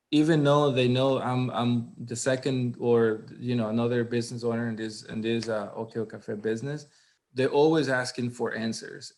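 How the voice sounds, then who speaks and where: A man from Costa Rica with a Costa Rican accent, an executive chef answering interview questions earnestly in slightly halting English. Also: medium pitch, darkish tone. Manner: earnest, fast bursts, halting non-native grammar. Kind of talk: casual interview talk.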